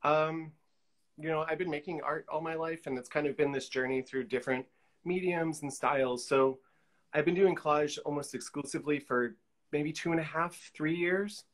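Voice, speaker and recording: A man's voice: a little high, fairly even intonation, moderate pace, a bit bright. A man, chatting casually as an interview guest on a video call. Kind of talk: casual chat about own work. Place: room at home, video call.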